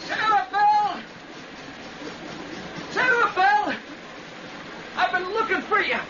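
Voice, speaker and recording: Excitable farm boy, rural american, high voice, folksy, old radio play with lots of hiss. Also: slow, full character voice. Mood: urgent. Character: earnest, excitable, kindly country lad. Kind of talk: old-time radio drama acting. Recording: muffled, noisy vintage radio recording.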